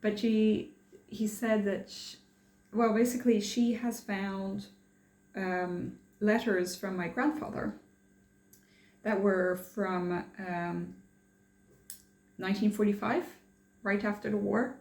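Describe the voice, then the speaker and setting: A woman's voice: mid-range voice, fairly even intonation, slow pace, long pauses, darkish tone, reverberant. A young woman from France chatting casually in a personal vlog, rambling and hesitant with many fillers and restarts. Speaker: vlogger.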